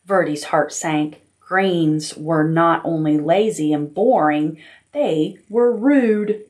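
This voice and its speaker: A woman's voice: sits low, takes it slowly, darkish tone. A woman narrating a children's picture book expressively, as a storytime reader at a library.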